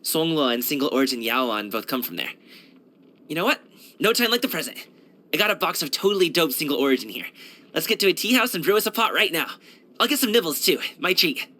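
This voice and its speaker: A man's voice: fairly high pitch, lively intonation, fast, sharp tone. A man voicing an upbeat young kung fu street dancer and courier in a video game, breezy, slangy and chatty. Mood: friendly, eager. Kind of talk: video game character voice lines.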